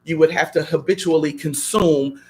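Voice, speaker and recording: A man's voice: fairly high pitch, steady tone, moderate pace, darkish tone. Man preaching in repeated questions. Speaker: guest. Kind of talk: radio talk show conversation.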